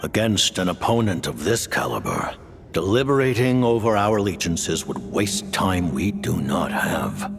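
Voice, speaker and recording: A low, gravelly voice for a cold and formal leader. He speaks in a measured, grave way, with his pitch rising and falling widely. Quiet music plays under his voice, as in a game cutscene. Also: big pitch swings, exaggerated voice. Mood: resolute. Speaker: Fatui leader. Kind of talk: video game voice acting.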